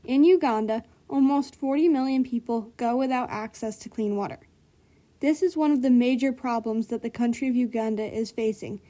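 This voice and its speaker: A woman's voice: a little high, normal speed, mellow. A woman reading a scripted school report aloud with a careful, earnest delivery, speaking in the first person as a character. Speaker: student presenter.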